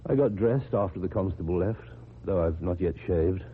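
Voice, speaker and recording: An actor playing an anguished, haunted man in a radio drama, with a halting, faltering delivery. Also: sits low, breathy edge. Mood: weary, haunted. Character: anguished, haunted man unravelling in grief and dread. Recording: muffled, tinny old radio recording.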